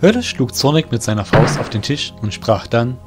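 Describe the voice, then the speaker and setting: A man narrating an audio drama in an expressive storytelling way, over music. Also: medium pitch, normal speed. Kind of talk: scripted narration.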